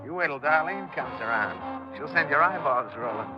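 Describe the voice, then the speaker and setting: Male actor as streetwise chiseler, animated and mocking, higher register, muffled old radio crime show. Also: moderate pace, over music, close to an everyday voice. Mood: breezy hustling. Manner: wisecracking, streetwise, needling. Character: slick, mocking small-time crook and chiseler. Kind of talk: 1950s radio drama acting. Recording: muffled 1950s radio recording.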